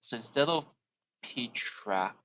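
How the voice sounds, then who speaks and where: A man's voice: medium pitch, lively intonation, slow, fairly bright tone, highs cut off. A young man, chatting while he codes on a live stream, thinking aloud, restarting phrases and chuckling often. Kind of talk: casual live-stream coding chat.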